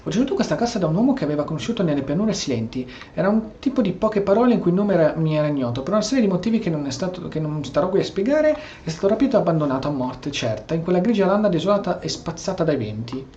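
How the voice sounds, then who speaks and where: Italian-accented male gaming streamer, lively swooping intonation, theatrical, casual, echoey muffled sound. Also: on the high side, racing, hardly any pauses. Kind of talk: casual gameplay commentary with role-play reading of subtitles. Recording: muffled, echoey, faintly noisy room.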